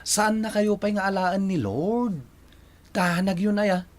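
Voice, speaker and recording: A man's voice: high-pitched, steady tone, slow. A man acting a carefree, joking bachelor son in his thirties, protesting playfully in a radio drama.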